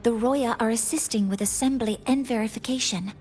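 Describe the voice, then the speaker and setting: A woman's voice: a little high, fairly quick, a little mellow, natural voice. A woman playing an earnest young scientist in a video game, speaking formally and precisely as she explains things. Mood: earnest, solemn. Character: earnest young female scientist, briefing her mentor. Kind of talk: scripted game dialogue. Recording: music behind the voice.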